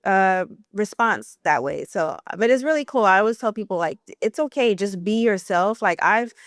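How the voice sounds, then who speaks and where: A woman's voice: mid-range voice, lively intonation, normal speed, dry recording, studio quality. A woman in her thirties, an esthetician, talking casually on a podcast in an encouraging way, with a light stutter and many fillers.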